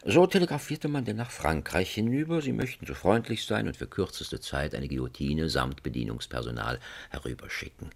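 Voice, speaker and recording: A man narrating a German radio play as a wry, mock-solemn storyteller, with a gravelly, husky voice and literary phrasing. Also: extremely low pitch, very animated, a little fast, hardly any pauses, full character voice. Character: wry, theatrical storyteller of a political satire.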